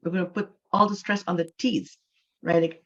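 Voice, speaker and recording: A woman's voice: lowish voice, steady tone, moderate pace, creaky. Patient woman teaching over a dull call. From Canada. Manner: explains patiently, stretching and repeating key words for emphasis. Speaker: English teacher. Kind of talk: online class lesson.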